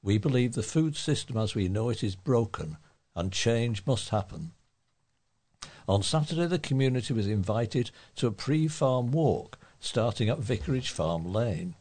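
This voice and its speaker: A man's voice: mid-range voice, expressive, moderate pace, a little mellow. A man reading a local newspaper report aloud as a volunteer newsreader. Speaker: volunteer local news reader.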